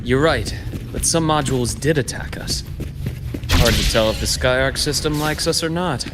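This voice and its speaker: A young man playing a calm, observant anime game adventurer with a measured, matter-of-fact delivery. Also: mid-range voice, very noisy, close to an everyday voice. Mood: thoughtful.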